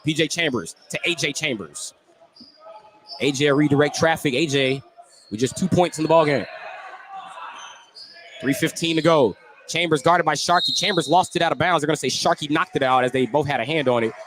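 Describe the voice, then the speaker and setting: A man's voice: on the high side, fairly bright tone. A man doing live radio play-by-play commentary of a basketball game. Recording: live gym broadcast with background noise. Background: gym ambience, bouncing basketball.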